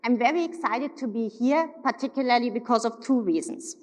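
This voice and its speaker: German-accented woman giving an upbeat keynote. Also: on the high side. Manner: upbeat, emphatic, slowing for stress. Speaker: corporate executive keynote speaker. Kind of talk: keynote speech on stage. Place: large hotel ballroom. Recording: long reverb of a large hall.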